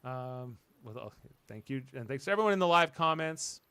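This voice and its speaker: A man's voice: mid-range voice, expressive, normal speed, sharp tone, smooth, no echo. A man hosting a casual panel podcast, reading out show announcements in a rambling, hesitant way that often trails off. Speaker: podcast host.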